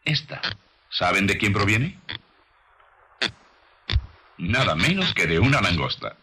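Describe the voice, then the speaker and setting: A man's voice: fairly low pitch, sing-song, takes it slowly, pushed and bright, slightly rough. A man delivering scripted nature documentary narration in a didactic manner, addressing the listener as a teacher would. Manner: didactic, rhetorical questions to the listener.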